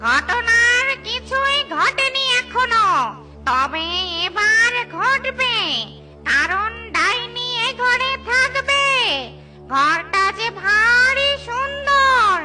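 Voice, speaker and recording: Cartoon witch: piercingly high, sharp, slow, gloating and predatory. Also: expressive, faint music behind, heavily acted. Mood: menacing, gloating. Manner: slow, drawn-out menace. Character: menacing bird-eating witch, female, gloating over prey. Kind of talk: children's cartoon voice acting.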